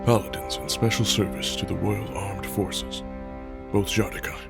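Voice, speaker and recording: A man's voice: on the low side, steady tone, normal speed, creaky, loud music behind the voice. A man playing a soldier who formally speaks on behalf of two orphans. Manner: formal, reporting.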